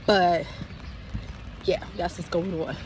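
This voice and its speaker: A woman's voice: lowish voice, fairly even intonation, rough recording. A young woman vlogging on her phone outdoors, chatty and exasperated, sounding congested. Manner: chatty, exasperated, drawn-out complaints. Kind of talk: casual vlog to phone camera. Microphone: phone camera.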